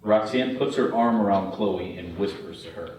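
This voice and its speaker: A man's voice: on the low side, moderate pace, darkish tone. A man reading stage directions as the narrator of a screenplay table read. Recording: very echoey room, distant, faint noise.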